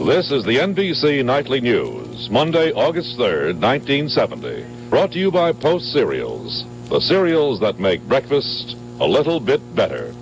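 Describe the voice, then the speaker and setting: An American man reading formal, measured, scripted news introductions as a television announcer. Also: medium pitch, wildly swooping pitch, rough recording. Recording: archival TV broadcast, music underneath.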